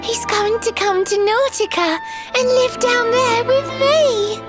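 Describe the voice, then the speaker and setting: A lovesick young mermaid pours out her heart in lilting rhymes, her voice very high and slow. She sounds gentle and earnest. A game's music swells clearly behind her. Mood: tender. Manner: speaks in sing-song rhyming verse. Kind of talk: video game voice acting. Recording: game score playing behind.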